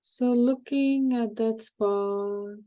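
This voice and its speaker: A woman guiding a hypnosis meditation in a calm, unhurried, soothing way, with short phrases and pauses. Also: fairly high pitch. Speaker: hypnosis guide.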